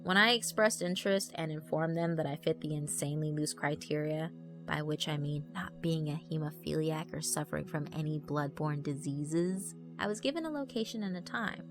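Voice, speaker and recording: A woman narrates a first-person story in a wry, conversational, expressive way. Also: low-pitched, normal speed. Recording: background music under the voice. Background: background music.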